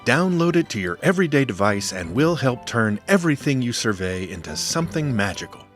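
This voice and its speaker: Male trailer narrator, medium register, highly animated scripted promo read. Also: fairly quick, faint music behind. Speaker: trailer voice-over.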